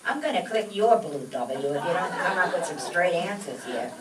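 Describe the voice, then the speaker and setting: A woman's voice: lowish voice, animated, normal speed, over a noisy crowd, lightly acted. A woman playing a gullible, exasperated male stooge in a vaudeville-style radio comedy routine. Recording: echoey distant mic, noisy live stage.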